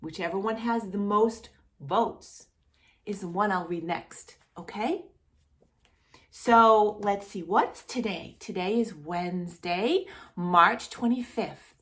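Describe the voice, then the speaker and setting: A woman's voice: medium pitch, takes it slowly, a bit bright. A woman hosting a children's storytime, speaking directly to young children in an encouraging, sing-song way with lots of little questions. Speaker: host of a children's storytime channel.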